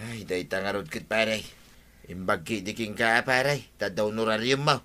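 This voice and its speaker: A man's voice: lowish voice, fairly even intonation, normal speed, sharp tone. An actor with an Ilocano accent playing a chummy village sidekick who soothes and advises his friend in a radio comedy drama. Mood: soothing, advising. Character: village buddy, soothing sidekick of a troubled captain.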